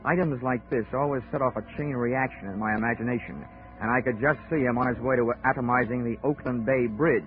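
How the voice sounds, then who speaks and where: An actor playing a cocky, wisecracking insurance investigator who narrates wryly in a 1940s radio drama. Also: male voice, mid-range voice, a little fast, lightly acted. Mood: narrating. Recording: tinny old 1940s radio recording with music.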